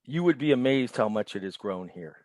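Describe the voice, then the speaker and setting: A man's voice: medium pitch, expressive, a little fast, darkish tone. A man who is a guest author, chatting in a friendly way and chuckling often on a video call.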